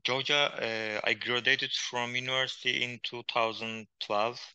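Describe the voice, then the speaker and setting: A man from Turkey's far east, with as his in English, answering interview questions in a halting way with many pauses and fillers. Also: mid-range voice, steady tone, bright, forceful tone, smooth, no room sound. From Hakkari region of Turkey, Kurdish. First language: Kurdish. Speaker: guest, poet and journalist. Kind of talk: interview conversation.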